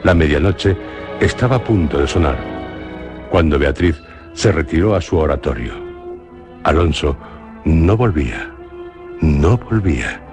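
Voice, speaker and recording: A man with a Spanish accent narrating a suspenseful radio drama in a slow, grave, measured way. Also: extremely low pitch, animated, over music. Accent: Spain.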